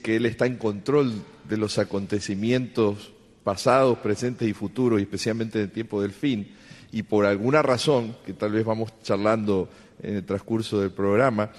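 Lower-voiced male pastor from Argentina, deliberate, hall echo. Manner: deliberate, wordy, with fillers. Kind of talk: panel discussion. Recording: long hall reverb.

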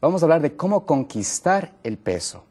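A man's voice: on the high side, big pitch swings, moderate pace, a little mellow, breathy edge. A man hosting a health TV program in a studio, friendly and plain-spoken. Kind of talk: TV talk show hosting.

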